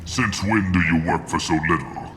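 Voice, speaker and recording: A man's voice: low voice, normal speed, fairly bright tone, slight background noise. A man voicing a harried, hot-tempered mercenary boss in a video game, impatient, swearing and barking orders. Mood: irritated, weary.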